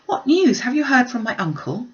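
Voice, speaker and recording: An eager young gentlewoman from a classic storybook, acted out by a woman reader. She pleads urgently and impatiently, her voice raised well up high. The recording is slightly dull. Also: big pitch swings, normal speed, distant mic. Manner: urgent, exclaiming.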